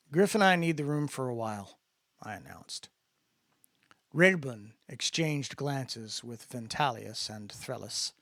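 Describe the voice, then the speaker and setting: A man with a mid-range voice presents a story in an expressive, dramatic reading style. The sound is dry, with hardly any room in it. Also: mid-range voice, no echo. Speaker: narrator reading own book aloud. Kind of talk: casual introduction, then dramatic audiobook-style reading.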